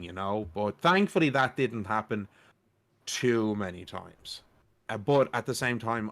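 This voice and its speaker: A man with a naturally loud, carrying voice, talking through editing habits in a relaxed roundtable. He rambles a little, adds self-deprecating asides and keeps the tone informal and conversational. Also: fairly low pitch. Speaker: podcast host and editor. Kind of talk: casual roundtable chat.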